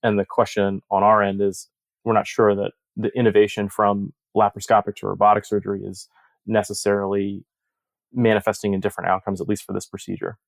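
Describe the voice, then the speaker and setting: A man's voice: lowish voice, completely flat delivery, a little fast, creaky, dry recording. A man giving a conversational, reflective expert explanation in an interview. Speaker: surgeon-researcher interviewed as study author.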